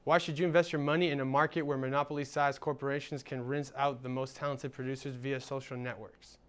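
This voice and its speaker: A man's voice: medium pitch, flat delivery, quick pace, a bit bright, clean tone. A young man hosting a student show, reading upbeat scripted links and interviewing a guest. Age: young adult. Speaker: student host and presenter.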